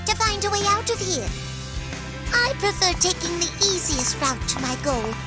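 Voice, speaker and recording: An actress voicing a small, whimsical creature in a video game, prim and scolding, in singsong rhyme. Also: female voice, extremely high pitch, very animated, normal speed, over loud music. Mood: prim, bossy. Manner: prim, bossy, singsong rhyming. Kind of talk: video game cutscene acting.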